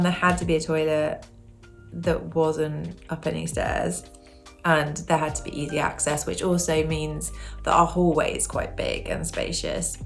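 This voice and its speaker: A young British woman with a croaky throat, chatting casually to camera as a home decor vlogger, rambling and correcting herself as she goes. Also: fairly low pitch.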